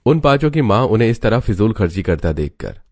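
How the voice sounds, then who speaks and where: A man's voice: fairly low pitch, big pitch swings, a little fast, a little mellow. A man narrating a scripted moral story as its storyteller.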